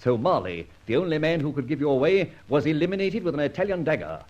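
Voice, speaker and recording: An actor playing a dashing, sardonic masked vigilante in an old-time radio drama, speaking in a theatrical, clipped and commanding way. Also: male voice, a little high, very animated, fast, a little mellow. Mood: accusing, commanding. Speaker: lead actor in a radio detective serial. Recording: dull vintage radio recording.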